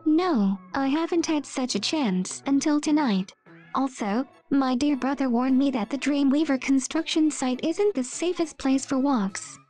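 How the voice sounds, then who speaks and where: A woman's voice: sits high, unhurried, a little mellow. A woman voicing a polite, sheltered young songstress in a courteous, demure manner. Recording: music behind the voice.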